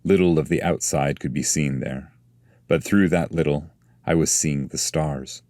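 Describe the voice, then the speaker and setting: A man reading epic poetry as a scripted narration, in a measured, solemn way. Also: extremely low pitch, warm tone, no echo, studio quality.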